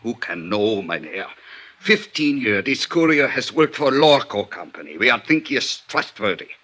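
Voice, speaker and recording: An actor playing an excitable, indignant diamond company representative in old radio drama, with a Germanic accent and fractured English. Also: male voice, medium pitch, normal speed. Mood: agitated, lamenting. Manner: agitated, fractured English, lamenting.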